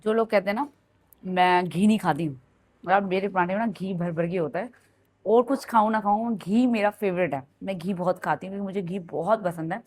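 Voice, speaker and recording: A woman in her thirties with a Punjabi-tinged accent and a hoarse throat, chatting through a home vlog in her kitchen and slipping into English phrases. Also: mid-range voice, a little fast, darkish tone. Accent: Punjabi-tinged Hindi. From India. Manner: chatty, code-switches into English. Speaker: vlogger. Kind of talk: casual vlog narration.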